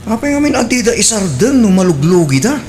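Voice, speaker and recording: A man's voice: high-pitched, sing-song, a little fast, a little mellow, faint music behind. A man playing a fussy, grudging and nosy husband in a querulous way, in a radio drama.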